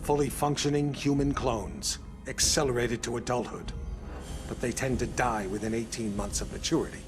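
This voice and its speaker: Actor playing an emphatic, scheming intelligence chief over music. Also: male voice, mid-range voice, fairly even intonation, moderate pace, natural voice. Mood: grave, measured. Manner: emphatic, opinionated, grandiose. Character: embittered, scheming older male intelligence chief giving an interview. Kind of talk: cinematic game cutscene acting.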